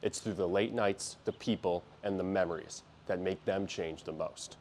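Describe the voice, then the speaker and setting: A man's voice: low-pitched, steady tone, normal speed, a little mellow, a little hiss or hum. A young man narrating scripted news packages as a student TV reporter. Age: young adult.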